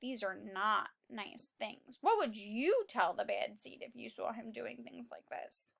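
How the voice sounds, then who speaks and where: A woman reads a picture book to young children in a high, bright voice. She takes her time like a teacher, swinging expressively through the sentences as she questions and explains in simple words. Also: expressive, unhurried, creaky. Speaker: children's read-aloud teacher.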